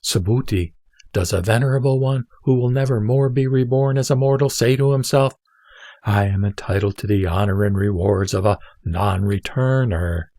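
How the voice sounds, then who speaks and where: A man playing the Buddha as a calm teacher, speaking in a measured, deliberate, instructive way in a scripture audio drama. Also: on the low side, no room sound, clean studio sound. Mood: questioning. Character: male Buddha, a calm teacher questioning his disciple.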